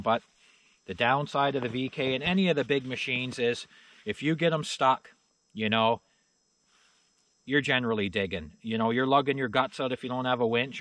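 A man's voice: medium pitch, a bit bright. A middle-aged man, rambling casually as he shows off snowmobiles outdoors in the snow, with lots of "you know" and "uh". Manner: folksy, plain-spoken, lots of fillers like "you know" and "uh". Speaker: channel host showing snowmobiles. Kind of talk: casual rambling walkaround talk. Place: outdoors in a snowy yard.

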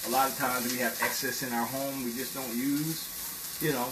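A relaxed, playful man in his fifties talks casually while cooking in a home kitchen. His voice sits at an ordinary middle pitch. A loud sizzling pan and heavy noise run under his voice. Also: moderate pace. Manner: easygoing, playful, cooks while talking. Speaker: cooking show host. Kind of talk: live cooking stream, casual chat.

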